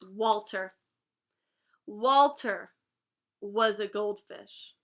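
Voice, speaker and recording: A young woman teaching a class, reading a picture book aloud and pausing to explain words and ask questions. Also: medium pitch, a bit bright. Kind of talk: read-aloud storytime lesson.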